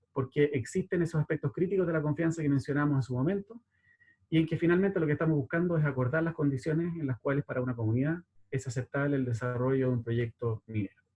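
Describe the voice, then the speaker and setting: A man giving a formal, methodical slide presentation in a slightly husky voice. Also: on the high side, a little mellow. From Chile. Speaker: panel speaker, community relations specialist.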